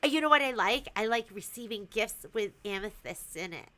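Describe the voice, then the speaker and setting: A woman's voice: medium pitch, expressive, fairly quick, sharp tone. A woman hosting a casual livestream from a sewing shop, chatty and teasing, giggling as she shows products.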